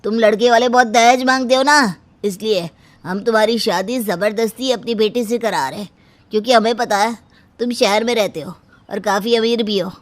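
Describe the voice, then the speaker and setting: A woman's voice: on the high side, moderate pace, no echo, close to an everyday voice. A woman playing a threatening, commanding village parent in a cartoon story. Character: menacing village parent forcing a marriage. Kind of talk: Hindi cartoon story voice acting.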